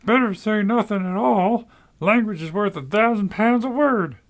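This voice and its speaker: A man voicing a whole chorus of railway passengers in unison, sing-song, high and distant, in a storybook reading. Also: normal speed. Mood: chanting. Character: choral crowd of passengers, chanting together.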